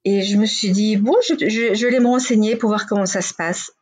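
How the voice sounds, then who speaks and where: Woman, 40s, from Belgium, interview guest; husky, frank, chatty, filler-heavy. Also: medium pitch, fairly even intonation, fairly quick, darkish tone. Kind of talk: casual interview talk.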